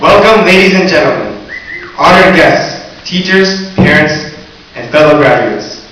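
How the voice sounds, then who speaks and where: A teenage young man giving a graduation speech, earnest with light humor. His voice sits a little high for a man. It is recorded from far back in a very echoey hall, with a faint hiss. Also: animated, unhurried, pushed and bright. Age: teens. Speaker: valedictorian. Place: large echoey hall or gym. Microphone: recorded from far off in the audience.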